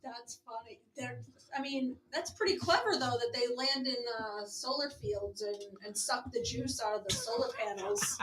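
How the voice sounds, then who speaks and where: A woman's voice: on the high side, normal speed, crowd noise, low-quality recording. A woman co-hosting a talk show in casual, informal chat.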